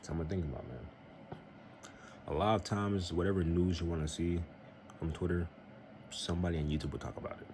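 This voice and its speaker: Young man, very low voice, earnest preachy vlogger, noisy home recording. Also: brisk, long pauses. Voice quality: very deep voice. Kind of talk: casual faith-based vlog talk.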